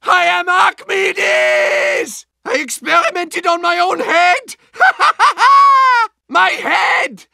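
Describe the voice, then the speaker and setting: An actor playing an unhinged German battlefield doctor with a theatrical, barking manner and a German accent. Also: male voice, very high voice, huge pitch swings, slow, vocal fry, hardly any pauses, sharp tone, dry recording, shouting, clean studio sound, exaggerated voice. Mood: manic. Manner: theatrical, barking, gleefully deranged. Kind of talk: video game voice lines.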